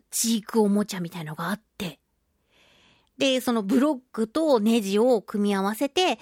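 A woman's voice: medium pitch, darkish tone, no echo, studio quality. A woman hosting a casual solo radio talk, chatty and giggly, playfully slipping into mock-polite phrases as she narrates. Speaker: radio show host and voice actress.

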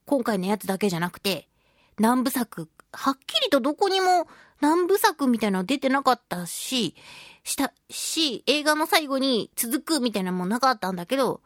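Animated high-voiced woman chatting on radio. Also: no echo, clean studio sound. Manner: expressive, emphatic, chatty, stretches words for emphasis. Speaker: radio show host and voice actress. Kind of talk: casual solo radio talk to listeners.

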